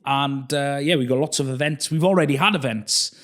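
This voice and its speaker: A man hosting a podcast in a casual, conversational tone. His voice sits at an ordinary mid pitch. He is friendly and a little hesitant, filling pauses with soft "uh"s as he gathers his thoughts. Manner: friendly, hesitant with frequent uh fillers. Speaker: podcast host. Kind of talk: casual interview chat.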